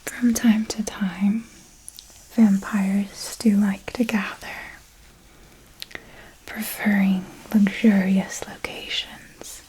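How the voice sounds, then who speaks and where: A woman playing an elegant, seductive vampire mentor in an ASMR roleplay, speaking in a soft breathy whisper with formal, deliberate, intimate phrasing. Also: mid-range voice, slight background noise, lightly acted, rough recording. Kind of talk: ASMR roleplay, scripted monologue.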